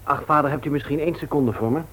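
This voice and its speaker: An actor playing an amiable, slightly sheepish grown-up son in a Dutch radio play, casual and a little hesitant. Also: male voice, a little high, racing, a bit bright, close to an everyday voice. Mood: polite. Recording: noisy old radio play recording.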